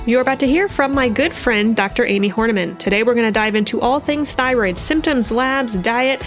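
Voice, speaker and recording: A woman's voice: on the high side, fairly quick. Woman, podcast host, congested, upbeat, faint music behind. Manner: upbeat, promotional. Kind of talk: scripted intro, then casual interview.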